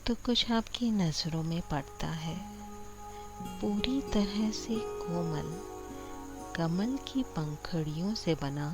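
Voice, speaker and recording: Slow, low-pitched woman guiding sleep meditation. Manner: gentle, soothing delivery with long pauses. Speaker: meditation guide. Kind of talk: guided sleep meditation narration, scripted. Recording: voice over loud background music.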